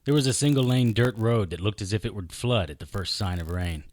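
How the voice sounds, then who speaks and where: An actor playing a wry, unflappable private investigator in an audio drama, narrating and talking in a deadpan, sardonic way. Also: male voice, on the low side, a little fast, a little hiss or hum, lightly acted. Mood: grim narration. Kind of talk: hard-boiled detective audio drama acting with first-person narration.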